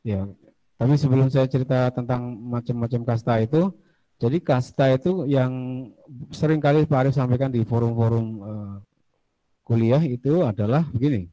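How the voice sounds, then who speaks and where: A man's voice: mid-range voice, normal speed, mellow, no echo, poor audio. A man teaching a casual classroom lecture, chatty, asking students questions and drifting into asides.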